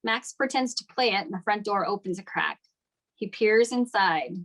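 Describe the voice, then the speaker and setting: A woman's voice: medium pitch, expressive, moderate pace, fairly bright tone. A woman narrating scripted stage directions at a table read of a screenplay.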